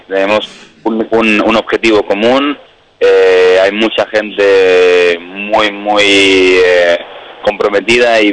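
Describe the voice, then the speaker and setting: A man's voice: sits low, slight background noise, rough recording. A man speaking by phone as a guest on a radio show, eager and rambling with many restarts. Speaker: guest, protest camp spokesperson. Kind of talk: phone interview. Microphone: telephone.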